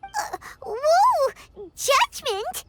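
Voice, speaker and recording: A woman voicing a tiny, childlike female fairy companion in a video game, squeaky, excitable and cheeky. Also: extremely slow, noisy background, heavily acted. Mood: surprised, nervous. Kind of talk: English video game voice acting.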